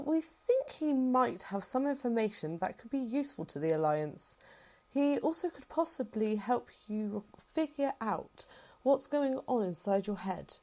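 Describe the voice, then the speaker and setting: A woman's voice: fairly high pitch, darkish tone. A woman playing a composed, reassuring and formal rebel general in a fan-made sci-fi roleplay.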